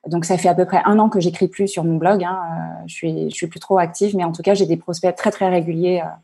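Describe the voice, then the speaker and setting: A woman coach answering interview questions on a video call, halting and full of fillers and restarts. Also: lowish voice, steady tone, vocal fry, warm tone, slightly rough. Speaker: online coach and entrepreneur.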